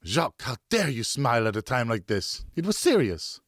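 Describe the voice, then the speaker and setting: Man voicing a high-pitched, distraught French wife, scolding. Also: sing-song, moderate pace, a bit bright, no room sound, studio quality. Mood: hurt, indignant. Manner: indignant, pleading, emphatic. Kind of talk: audiobook character acting.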